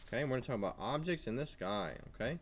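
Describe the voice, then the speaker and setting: A man teaching kindergarteners with an animated read-aloud, asking simple questions as he goes. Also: medium pitch, very fast, slight background noise. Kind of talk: read-aloud lesson for young children.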